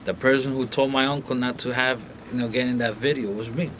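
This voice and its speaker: A man's voice: mid-range voice, fairly even intonation, fairly quick, a bit bright, slight background noise. A young man from Colombia talking casually and bluntly as an interview guest in a recording studio, peppering his speech with profanity and filler phrases.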